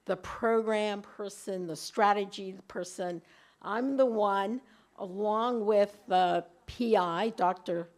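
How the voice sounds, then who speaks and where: A woman's voice: mid-range voice, darkish tone. A middle-aged woman with a light voice gives a conference presentation in a room, often restarting and repeating words. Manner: halting, repeats words while speaking. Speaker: invited speaker at a webinar.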